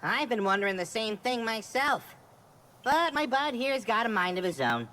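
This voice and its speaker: A woman's voice: fairly high pitch, big pitch swings, moderate pace. A woman voicing a small, cocky, chatty forest spirit sidekick in a video game, with slangy, wheedling talk and stammers. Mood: cocky, boastful. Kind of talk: animated video game cutscene acting. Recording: music loud behind the voice. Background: game soundtrack music.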